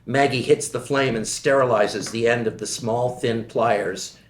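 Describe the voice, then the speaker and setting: Man reading stage directions in an echoey room. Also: mid-range voice. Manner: even, measured reading of scene directions. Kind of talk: table read narration.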